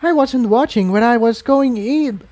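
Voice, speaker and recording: A man's voice: very high-pitched, unhurried, a little mellow, voice pushed up. A man voicing a haughty, outspoken female unicorn who is easily appalled, in a fantasy story. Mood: casual.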